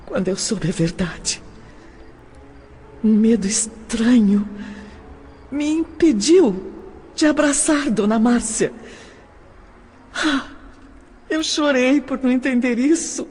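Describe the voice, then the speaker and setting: A woman playing a sorrowful adopted orphan recalling her childhood in an emotive, deliberate audio drama monologue. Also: on the high side, expressive, a little mellow, breathy edge, exaggerated voice. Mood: wounded, sad. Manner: slow, emotive, deliberate delivery. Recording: long hall-like reverb.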